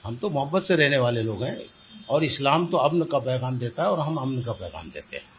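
A man's voice: mid-range voice, very animated, brisk. An older man, explaining his position in a news interview and repeating words for emphasis. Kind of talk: spoken news interview answers. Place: small indoor office. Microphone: handheld reporter's microphone held close. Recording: clear background room noise.

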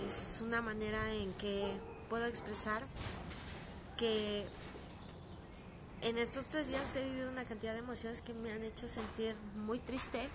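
A woman's voice: a little high, background noise. Earnest Mexican woman streaming outdoors. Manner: earnest, halting, with frequent fillers. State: sore throat and dry cough. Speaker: actress streaming live. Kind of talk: casual live-stream talk. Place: outdoor terrace with plants. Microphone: phone at arm's length.

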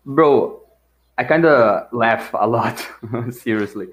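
A man whose first language is Portuguese, calling into a podcast and speaking with a Portuguese accent in hesitant, halting chat. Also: mid-range voice. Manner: hesitant, halting, laughs often. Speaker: caller. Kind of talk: casual chat. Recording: dull lo-fi voice call.

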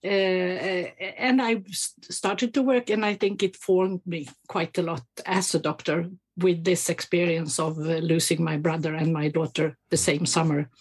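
Husky-voiced Swedish woman, slow and halting. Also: lowish voice, steady tone, darkish tone. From Sweden. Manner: hesitant, many fillers and restarts, reflective. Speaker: guest, medical doctor. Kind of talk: interview conversation.

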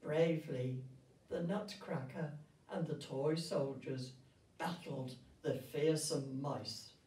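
An elderly woman reading a storybook aloud to children in a gentle, grandmotherly and expressive way. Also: deep voice, slow. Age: 70s or older. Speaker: retirement village resident reading a story. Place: indoor room with festive backdrop. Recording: roomy sound, distant microphone.

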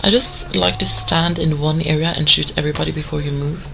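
A hoarse woman giving chatty, rambling commentary over a game she plays. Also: deep voice, fairly even intonation, brisk, bright, forceful tone, a little hiss or hum, low-quality recording. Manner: chatty, rambling, full of fillers. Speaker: gamer. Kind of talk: let's play commentary.

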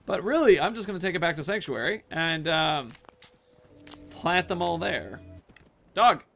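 Higher-voiced man rambling through game commentary. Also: expressive, a little slow, creaky, fairly bright tone, slight background noise. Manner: rambling, playful, talks to himself and the game. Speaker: gaming video host. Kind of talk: casual gameplay commentary.

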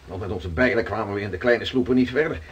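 An actor playing a hearty seafarer home from an Arctic voyage in a radio drama. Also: male voice, fast talker, lo-fi sound, lightly acted. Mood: earnest storytelling. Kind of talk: Dutch radio drama acting. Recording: noisy radio play recording with low rumble.

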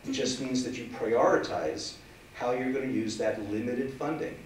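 A man's voice: mid-range voice, normal speed, a little hiss or hum. Emphatic man speaking in echoey council chamber. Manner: forceful, emphatic, standing and gesturing. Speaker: legal aid director giving public comment. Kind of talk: public comment at hearing. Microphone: standing away from desk mic. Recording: echoey chamber, far from mic.

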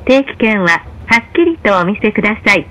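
A woman reading scripted train announcements in a formal, measured way. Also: medium pitch, big pitch swings, bright, forceful tone, rough recording.

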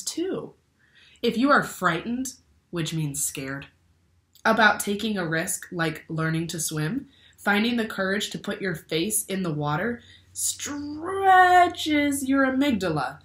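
A young adult woman reading a picture book aloud to children in a deliberate, instructive way. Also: medium pitch. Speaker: teacher or librarian reading to children. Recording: echoey room.

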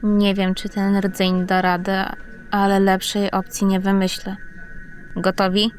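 A woman playing a tense, urgent female technician in a fantasy audio drama, strained and excitable. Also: mid-range voice, measured pace, soft background music, lightly acted. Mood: tense, subdued.

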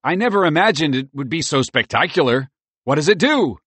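A man voicing a sleepy, excitable male pet squirrel who hushes others drowsily and gets gleeful about new things. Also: a little high, a little fast, slightly rough, no room sound, pitched above the performer's own voice, heavily acted. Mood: excited. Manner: groggy and drowsy at night, gleeful by day.

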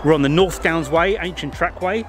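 A man's voice: on the high side, music in the background. A middle-aged man in his fifties, chatting casually and musing aloud while walking outdoors, filmed on a handheld camera at arm's length. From England. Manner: chatty, rambling, pauses to muse. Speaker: vlogger. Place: outdoors by a country churchyard near a motorway. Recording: loud traffic noise behind.

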